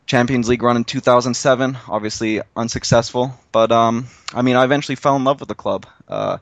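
A young adult man from Canada, chatting casually on a podcast and telling his story with relaxed fillers. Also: medium pitch, fairly quick, no room sound. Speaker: podcast guest.

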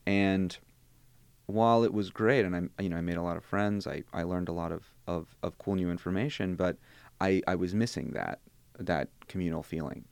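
Grad student, twenties, native Bosnian speaker, low gravelly voice, thoughtful and wry. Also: male voice, normal speed, darkish tone, no room sound. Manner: thoughtful, restarts phrases, wry asides. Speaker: interviewee, graduate student. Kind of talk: conversational interview.

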